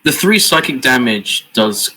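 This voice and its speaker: A man's voice: mid-range voice, big pitch swings, unhurried, sharp tone, a little hiss or hum, poor audio. A man playing a tabletop game, chatting casually and reacting with joking disbelief. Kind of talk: casual chat.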